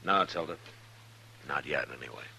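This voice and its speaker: Deep-voiced, terse frontier lawman. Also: gravelly, pushed and bright, exaggerated voice. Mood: grave, measured. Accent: American. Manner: terse, measured, authoritative. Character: calm, stern, steady frontier lawman, a man. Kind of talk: 1950s American radio western acting. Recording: noisy, humming old radio recording.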